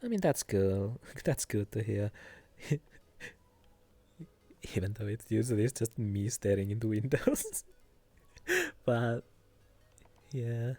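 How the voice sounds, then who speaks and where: A young man with a Finnish accent chatting casually on a live stream, soft-spoken and giggly, hesitating often. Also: lowish voice, wildly swooping pitch, slow, pauses often, no echo. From Finland.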